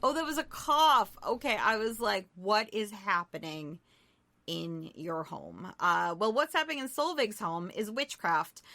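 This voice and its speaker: A woman's voice: medium pitch, animated, unhurried, sharp tone. A woman co-hosting a podcast, casually recapping a movie in an irreverent, jokey, sweary way with self-deprecating humor. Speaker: podcast co-host.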